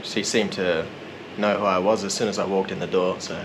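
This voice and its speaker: A man narrating a casual vlog in a relaxed, rambling, self-deprecating way. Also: low voice, fairly quick, noisy background. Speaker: vlogger.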